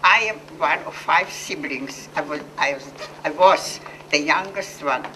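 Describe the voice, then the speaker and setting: An older woman with a Polish accent speaks earnestly to a gathered audience, often stopping to restart and correct her words. Her voice is bright and clear. The mic sits a little away from her, with faint room noise behind. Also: low voice, unhurried, distant mic. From Poland. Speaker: Holocaust survivor speaker. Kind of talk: personal testimony to an audience.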